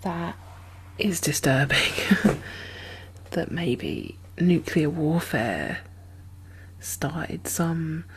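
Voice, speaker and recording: A woman playing a caring, uneasy survivor of a zombie apocalypse in a soft-spoken ASMR roleplay, breathy and hesitant, often slipping into whispers. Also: low voice, big pitch swings, slight background noise, heavily acted. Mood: disturbed, suspicious. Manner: hesitant, musing, halting.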